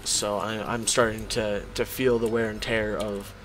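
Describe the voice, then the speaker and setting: A man talking casually to viewers while he draws, his voice hoarse and strained from hours of talking and his thoughts rambling along as he goes. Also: fairly low pitch, flat delivery, a little slow, fairly bright tone, a little hiss or hum, rough recording. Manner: rambling, thinks aloud. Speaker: YouTuber drawing on stream. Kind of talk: casual commentary while drawing.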